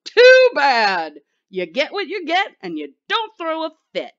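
A woman's voice: high voice, wildly swooping pitch, slow pace, lo-fi sound, voice pushed up, exaggerated voice. A woman voicing a pouty, indignant young squirrel boy with a temper for a picture book read-aloud. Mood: defiant.

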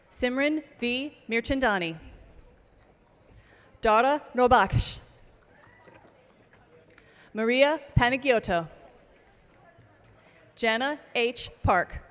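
A woman reading out graduate names at an outdoor commencement, slow and measured, over a PA system. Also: fairly high pitch. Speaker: name reader at commencement. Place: outdoor ceremony stage. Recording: long reverb of outdoor PA.

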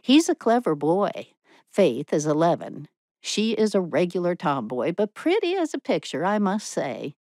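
A woman voicing an opinionated, sharp-tongued spinster who gossips with contempt and bitterness in a storybook reading. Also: on the low side, very animated, moderate pace, no echo, clean studio sound. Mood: indignant gossip.

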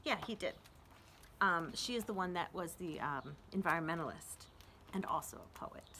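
A middle-aged woman reading poetry aloud expressively with deliberate pauses and casual asides. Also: fairly low pitch, lively intonation, pushed and bright. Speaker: children's librarian reading to an online audience. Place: outdoors on a house front porch. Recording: outdoor traffic noise, street ambience. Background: street traffic.

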